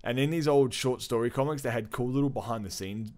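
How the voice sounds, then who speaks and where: A man's voice: medium pitch, quick pace, a little mellow. A man co-hosting a podcast, chatting casually and enthusiastically about pop culture with plenty of slang and swearing. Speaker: podcast co-host.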